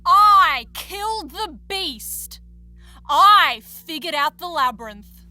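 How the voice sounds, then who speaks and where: Woman acting stern, bright, high-pitched accuser. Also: expressive, full character voice. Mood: triumphant. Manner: declamatory, deliberate. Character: stern, accusing voice in a tormented princess's mind. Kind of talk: theatrical tragedy acting.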